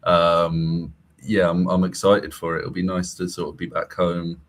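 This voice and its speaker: A man's voice: low-pitched, completely flat delivery, a little slow. A young man from Birmingham chatting easily on a podcast. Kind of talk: casual chat.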